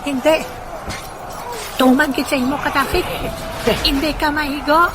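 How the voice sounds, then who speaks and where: A woman's voice: sits high, steady tone, measured pace, over a noisy crowd. A woman playing a defiant warrior in a comedic audio drama. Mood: taunting. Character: defiant warrior facing an enemy army. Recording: loud music drowning the voice.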